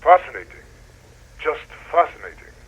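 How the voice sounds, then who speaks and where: A man playing an earnest, wonderstruck deep-sea researcher speaking slightly formally into a recorded log for an analog horror drama. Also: big pitch swings, moderate pace, a bit bright, highs cut off, slight background noise. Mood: fascinated. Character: excitable male deep-sea researcher keeping an audio log.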